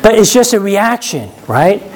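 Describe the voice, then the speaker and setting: Chatty preacher with a higher voice. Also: male voice, very animated, measured pace, a little mellow. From New York, USA. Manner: chatty, often checks in with "right?"; laughs at his own stories. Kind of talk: conversational sermon.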